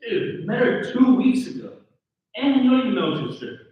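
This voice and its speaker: A man's voice: very high-pitched, sing-song, moderate pace. A man acting a skeptical, laid-back best friend in a table read of a comedy script. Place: live table read at an event in a room. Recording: echoey room, distant and dull.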